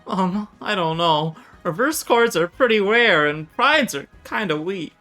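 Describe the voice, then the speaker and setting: A woman's voice: mid-range voice, expressive, a little slow, bright, forceful tone, soft background music. A woman voicing a timid, earnest and gullible young male student in a game read aloud. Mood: anxious, unsure. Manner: hesitant, unsure. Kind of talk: visual novel character read aloud.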